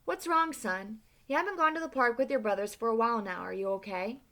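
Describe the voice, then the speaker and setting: Earnest, slow storybook dad, woman reader, bright tone. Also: a little high. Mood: reassuring. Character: warm encouraging father.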